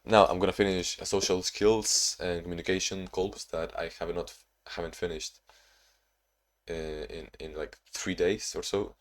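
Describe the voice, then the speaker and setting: Low-voiced young man, rambling vlog talk. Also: steady tone, moderate pace. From Spain and Ukraine. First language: Spanish or Ukrainian. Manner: rambling, self-reflective, frequent restarts. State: tired from little sleep. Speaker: vlogger. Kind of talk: casual vlog talking to camera.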